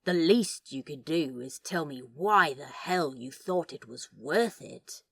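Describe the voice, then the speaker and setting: A woman voicing a gruff, sardonic, wounded male demon in a storybook reading, with a gravelly, husky drawl and plenty of bite. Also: sits low, animated, exaggerated voice. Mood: exasperated. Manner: slow, sardonic, swearing drawl.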